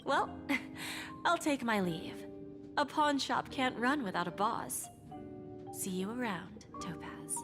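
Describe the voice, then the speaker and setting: An actress voicing a suave, scheming woman pawnbroker, breathy and half-whispered, smooth and teasing, in video game voice acting. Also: on the high side, animated, slow. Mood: sly. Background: game music.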